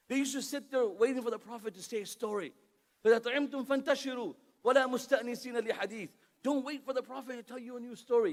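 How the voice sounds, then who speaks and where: A man preaching an impassioned sermon who moves between English and fast Arabic recitation, recorded in a lecture hall. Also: extremely high pitch. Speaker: Islamic preacher.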